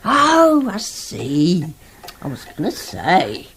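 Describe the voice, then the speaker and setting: A woman's voice: on the low side, huge pitch swings, slow pace, a bit bright, a little hiss or hum, full character voice. An actress playing a homely, scolding old grandmother in a radio play, no-nonsense and colloquial. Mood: disapproving.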